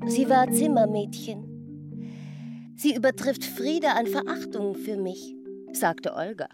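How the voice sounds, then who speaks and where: Slow village woman reciting over mallet music. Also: on the high side, expressive. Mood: stylised, deliberate. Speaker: radio drama performer. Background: prominent marimba-like mallet music.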